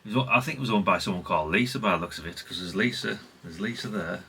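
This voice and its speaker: A man's voice: sits low, very fast, gravelly, bright, forceful tone, slight background noise. A middle-aged man from chats unscripted as a hobbyist vlogger, rambling and conversational with repeated catchphrases. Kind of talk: unscripted casual chat, showing an item.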